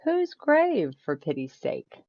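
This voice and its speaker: A woman's voice: low-pitched, huge pitch swings, slow, gravelly, a little mellow, full character voice. Woman reading as blunt, inquisitive storybook lady. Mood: curious, dismissive. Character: inquisitive, blunt lady friend hearing a tale. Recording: roomy echo, slightly dull sound.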